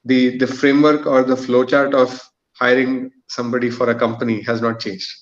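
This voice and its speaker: A man's voice: medium pitch, level tone, moderate pace. Man on dull lo-fi audio. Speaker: podcast guest, employer. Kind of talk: conversational panel discussion.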